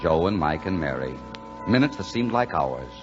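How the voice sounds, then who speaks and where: A man's voice: low voice, sing-song, moderate pace. An actor playing a plain-spoken, reassuring American man telling a Christmas story in 1940s radio drama style. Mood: measured storytelling. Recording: dull 1940s radio broadcast, music behind.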